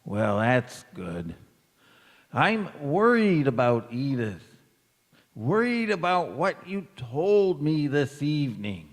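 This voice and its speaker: A man acting a feeble, forgetful old grandfather in a stage radio play, halting, dreamy and fondly teasing. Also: medium pitch, very animated. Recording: echoey hall with long reverb.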